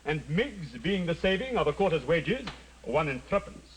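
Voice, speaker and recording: An actor playing an obsequious, precise secretary flattering his lord, in period radio drama style. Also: fairly high pitch, moderate pace, slight background noise. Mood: smooth, obsequious. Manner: fawning, precise.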